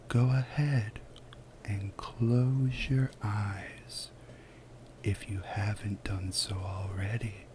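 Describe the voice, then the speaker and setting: A man softly guiding a relaxation visualization in ASMR style, with a breathy, whispery voice and a soothing, unhurried delivery full of pauses. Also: lowish voice, a little hiss or hum. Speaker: guided relaxation narrator. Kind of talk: soft-spoken ASMR guided visualization.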